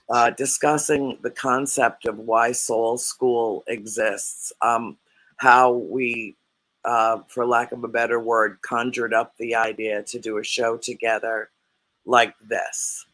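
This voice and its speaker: A man co-hosts a reflective spiritual discussion. He speaks very slowly in a flat, even voice, often pausing and restarting his sentences. He sounds dry and close to the mic. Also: medium pitch, no room sound, rough recording. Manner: hesitant, with many restarts and fillers. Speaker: co-host.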